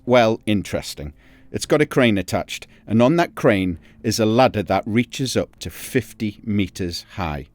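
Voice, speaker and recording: A man's voice: lowish voice, darkish tone. A man with a Geordie accent, a crime journalist hosting a podcast, telling the story dramatically and chatting with jokes. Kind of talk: scripted narration then casual chat.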